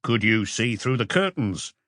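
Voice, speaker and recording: A man's voice: lowish voice, big pitch swings, a little slow, fairly bright tone, dry recording, studio quality. A man voicing a methodical male police questioner in a detective audiobook, terse and probing.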